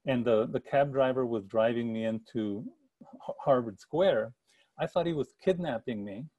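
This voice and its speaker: A man's voice: mid-range voice, normal speed, phone-quality sound. A middle-aged man from the El Paso area, an author giving an earnest talk about his past over a video call from an attic room at home. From El Paso area, Texas. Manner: earnest, gestures a lot, frequent restarts. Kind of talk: personal storytelling. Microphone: video call with earbuds.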